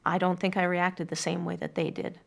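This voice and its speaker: A woman's voice: on the low side, level tone, brisk, vocal fry. A middle-aged woman speaking in an earnest, thoughtful way, reflective as she shares personal words or poetry. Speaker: poetry reader telling family memories. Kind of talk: reflective personal storytelling and poem reading. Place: quiet furnished room indoors.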